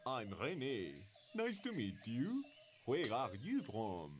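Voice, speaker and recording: Man as a slow, swooping young puppet fellow. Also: mid-range voice, wildly swooping pitch, crowd noise. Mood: friendly. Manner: deliberate. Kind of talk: scripted educational puppet dialogue. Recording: chirping insects behind dialogue.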